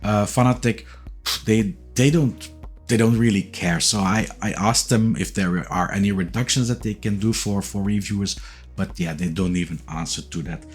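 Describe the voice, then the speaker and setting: Man in his 40s, Dutch accent, fairly low voice, relaxed and hesitant, faint music underneath. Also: normal speed. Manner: relaxed, hesitant, many "uh" and "yeah" fillers and repeated words. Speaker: YouTube reviewer of sim racing gear. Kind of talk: casual talking-head channel intro. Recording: faint music bed behind the voice.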